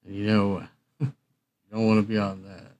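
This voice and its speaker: A man's voice: fairly low pitch, flat delivery, moderate pace, darkish tone. A man hosting a livestream, chatting casually in an informal, joking way. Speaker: livestream host. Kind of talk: casual rambling chat.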